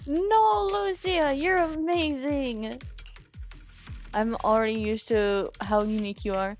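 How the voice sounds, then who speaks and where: A woman's voice: sits high, fairly even intonation, slow, darkish tone, faint music behind. A woman streaming a game, playful and exclamatory as she reacts and chats with viewers.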